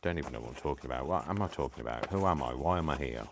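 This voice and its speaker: A man hosting a live crafting stream, chatting casually and poking fun at himself, tired and clearing his throat. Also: a bass voice, lively intonation, racing, background noise. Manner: chatty, self-deprecating, talks to himself. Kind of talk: rambling casual chat while crafting.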